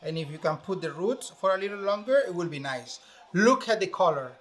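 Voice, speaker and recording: A man's voice: high-pitched, animated, brisk, a crowd in the background. A man from Venezuela with a Venezuelan Spanish accent, a chef explaining a cooking recipe step by step in English. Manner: explains step by step, many fillers. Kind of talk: casual cooking demonstration.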